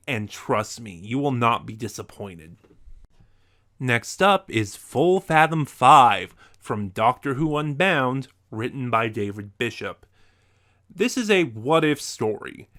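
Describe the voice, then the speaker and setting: A man's voice: mid-range voice, a bit bright. A man presenting a semi-scripted review to viewers as a video host.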